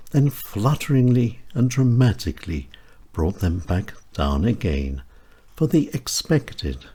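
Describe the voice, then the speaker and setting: A man reading his own short stories aloud as a radio presenter, with a measured and expressive delivery. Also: husky. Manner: measured, expressive delivery with wide swings in pitch. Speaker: storyteller and radio show presenter. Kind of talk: scripted short-story reading.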